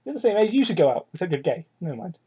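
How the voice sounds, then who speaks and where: A woman with a husky, unpolished voice reads fan fiction aloud for comedy. She keeps breaking off to heckle the text with exasperated swearing. The recording comes from a cheap, crude mic. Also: big pitch swings, fast talker, mellow, muffled recording. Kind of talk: comedic dramatic reading with asides. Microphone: cheap home microphone. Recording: crude, low-quality microphone.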